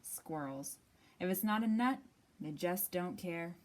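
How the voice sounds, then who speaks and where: A woman reads a children's picture book aloud as a storytime host. Her delivery is expressive and deliberate, with acted-out phrases and dramatic pauses. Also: lowish voice, slight background noise.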